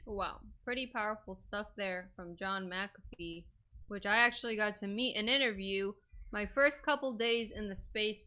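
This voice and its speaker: A woman's voice: mid-range voice, pushed and bright. A woman hosting a crypto video, chatting casually with lots of fillers and strong opinions. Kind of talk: casual commentary.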